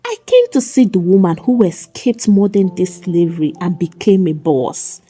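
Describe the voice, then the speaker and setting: A woman voicing a playful, smitten young man who flatters dramatically in a folk tale. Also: lowish voice, normal speed, husky, soft background music. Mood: playful, dramatic.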